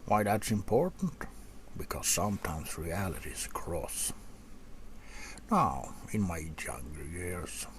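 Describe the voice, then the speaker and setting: A man playing a gruff, seasoned old vampire hunter in a softspoken ASMR roleplay, half-whispering in a folksy, rambling way to a rookie. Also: slight background noise, exaggerated voice. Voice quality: low, gravelly, breathy half-whisper. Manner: unhurried, folksy, rambling with musing pauses.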